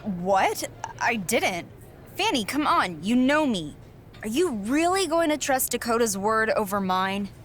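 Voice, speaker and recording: A woman's voice: fairly high pitch, very animated, a little slow, a bit bright, a little hiss or hum. A woman playing a feisty, defensive young wrestler in a game, speaking insistently. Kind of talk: video game cutscene acting.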